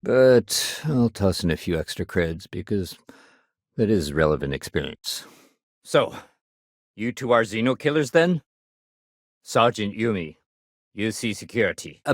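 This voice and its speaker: A man's voice: on the low side, no room sound, studio quality. An actor playing a no-nonsense, dutiful male city security sergeant in a video game, with a clipped, official, wry delivery.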